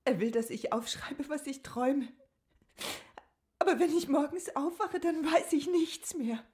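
An actress playing an exhausted, anxious middle-aged cook in a radio drama, speaking in a hushed, faltering way. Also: female voice, high-pitched, a little slow. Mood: distressed. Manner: hushed, faltering, often whispering. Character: exhausted, anxious 44-year-old cook, troubled and ashamed. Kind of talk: German radio drama acting.

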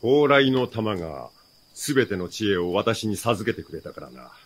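Low-voiced lordly nobleman villain. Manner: formal archaic lordly speech. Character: powerful nobleman villain, smug, all-knowing, courtly. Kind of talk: radio drama acting.

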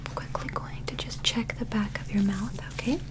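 A young woman voicing a calm alien doctor in a soft ASMR medical roleplay, speaking carefully and reassuringly in a whisper. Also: medium pitch, close to an everyday voice. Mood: calm, clinical. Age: 20s. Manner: slow, careful, clinical, reassuring. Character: calm female alien doctor examining a human.

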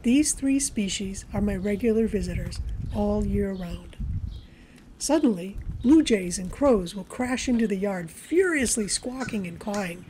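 Female nature-video narrator, soft-edged mellow tone, measured and gentle, mid-range pitch. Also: lively intonation, a little hiss or hum, poor audio. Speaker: presenter of a backyard birding video for a library. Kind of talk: scripted voice-over narration.

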